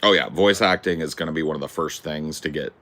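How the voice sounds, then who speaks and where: A man with a deep, distinctive voice talking in a casual, rambling way, opinionated and emphatic, swearing freely. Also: fast, pushed and bright. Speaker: host.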